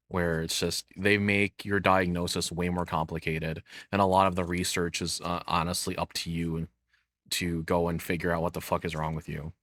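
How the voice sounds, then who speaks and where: A man's voice: low voice, pushed and bright, no echo. A man giving casual, rambling reaction commentary, swearing freely in an informal way. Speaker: reaction video host.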